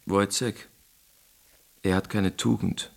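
A man's voice: on the low side, steady tone, takes it slowly, gravelly, full character voice. An actor playing a pompous, anxious army captain who moralizes and dwells on his words in a theatrical radio drama. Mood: self-righteous, wistful.

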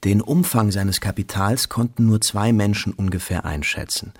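A man's voice: fairly low pitch, normal speed. A man narrating a literary radio drama in a storytelling role.

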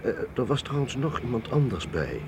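A man's voice: mid-range voice, very animated, normal speed, natural voice. A man playing an affable, candid military captain and scientist in a radio drama, conversational and slightly hesitant. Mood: candid, reassuring. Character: affable male navy or army captain, modest, candid scientist. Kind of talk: Dutch radio drama acting. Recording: faint vehicle noise behind voice.

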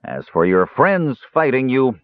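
A shrill, cunning dwarf slave-owner, as a male narrator puts him on, snarling and cackling with sly menace in a high, pinched voice that swings up and down. The sound is dry and squeezed into a thin, phone-like band, like a storybook read down a line. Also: sing-song, moderate pace, no room sound. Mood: gloating.

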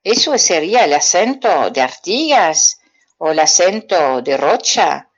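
A woman's voice: on the low side, very animated, a little slow, husky, lo-fi sound. A woman from Belgium with a Belgian French accent, giving a playful French lesson to children on the radio and quizzing them herself. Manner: chatty, asks children riddle-like questions and answers them herself. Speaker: French teacher on community radio.

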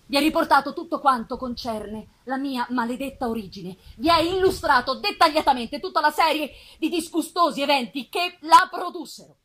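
A woman voicing a lonely, self-loathing male creature in a dramatic literary reading, eloquent and bitter, with measured, solemn phrasing. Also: high voice, animated. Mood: anguished, accusing. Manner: measured, solemn phrasing with emphatic swells and hushed drops. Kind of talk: dramatic literary reading, live theatrical performance. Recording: live festival recording with faint noise.

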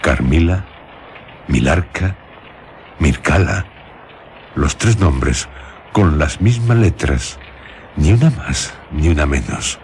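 A grave, puzzled figure from gothic radio drama. His voice is extremely deep and moves slowly, pondering word by word. There is faint noise behind him. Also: lively intonation, full character voice.

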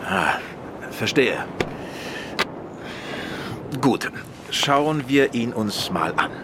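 An actor playing a brisk, reassuring doctor at an emergency in a German audio drama. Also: male voice, medium pitch, lively intonation, heavy background noise. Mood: brisk, polite. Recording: loud vehicle ambience behind.

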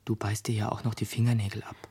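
An actor playing a brooding, blunt teenage boy in a radio play, speaking low-key, hushed and terse. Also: little pitch movement, fast, slightly rough. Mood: blunt, teasing. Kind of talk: intimate radio play dialogue.

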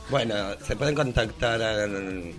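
A man's voice: on the low side, fairly bright tone. A man, chatting casually and a little hesitantly as a radio co-host. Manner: hesitant, unpractised on air. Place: community radio studio. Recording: music behind the voice. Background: music.

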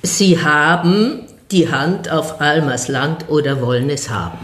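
A woman's voice: sits low, reverberant. An actress playing a joking, squeamish village choir woman in a radio play. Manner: joking, dry, unhurried.

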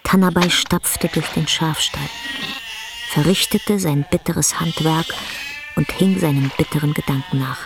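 A woman narrating a literary radio drama as a sober, measured storyteller. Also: sits low, over music.

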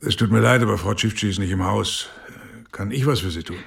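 Gravelly, low-voiced police officer. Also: male voice, brisk, exaggerated voice. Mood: calm, reassuring. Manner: polite, formal reassurance. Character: patient, reassuring male homicide squad officer. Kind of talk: naturalistic radio drama acting.

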